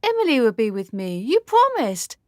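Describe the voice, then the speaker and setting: Woman doing a dreamy young noble girl pleading in a period radio play, high voice, really animated. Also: moderate pace, dry recording, clean studio sound. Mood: indignant. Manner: earnest, imploring, formal. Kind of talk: period radio drama acting.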